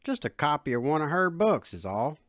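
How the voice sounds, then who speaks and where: Woman putting on a gruff, low country dad. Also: big pitch swings, no echo, studio quality. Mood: wary. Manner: folksy, slangy, drawn-out phrases. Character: gruff, folksy, beer-drinking country father, middle-aged man.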